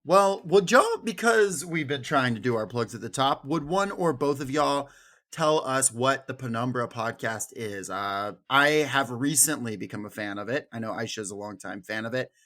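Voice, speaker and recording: A man's voice: mid-range voice, expressive, normal speed, fairly bright tone. A man hosting a podcast, chatting casually and jokily with some swearing. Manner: informal, jokey. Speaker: podcast host. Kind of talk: casual chat with profanity.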